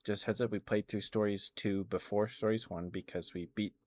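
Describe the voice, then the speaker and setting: A man's voice: on the low side, steady tone, moderate pace, darkish tone, no echo. A man streaming ASMR, chatting casually in a rambling, hesitant way into a close microphone. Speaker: live streamer. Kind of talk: ASMR, casual rambling chat to live viewers.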